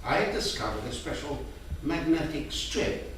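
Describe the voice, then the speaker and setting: A man's voice: on the high side, expressive, normal speed, fairly bright tone. Doting grandfather storyteller played by a man in a stage drama reading; tender, distant mic, faint noise. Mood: storytelling, playful. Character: gentle doting grandfather telling bedtime stories. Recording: echoey theatre from far off, faint noise.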